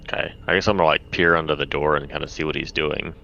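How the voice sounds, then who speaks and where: A man's voice: extremely low pitch, fairly even intonation, fast talker, vocal fry, bright, forceful tone. A man playing a tabletop game, chatting casually on a lo-fi microphone. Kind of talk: casual chat. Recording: dull lo-fi mic, background noise.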